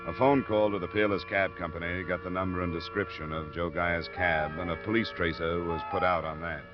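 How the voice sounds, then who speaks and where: A man performing a steady private investigator in a 1950s radio detective show. His low voice is dry, laconic and matter-of-fact. The vintage broadcast is muffled, with music behind him. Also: brisk, close to an everyday voice. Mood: dry, narrating. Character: laconic, steady male insurance investigator narrating his case.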